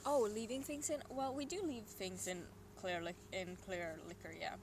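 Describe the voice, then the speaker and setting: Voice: mid-range voice, animated, moderate pace. A young adult from Sweden with a Swedish accent, chatting casually and rambling on a solo stream at home, with muttered and whispered asides. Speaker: livestreamer. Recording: noisy room ambience.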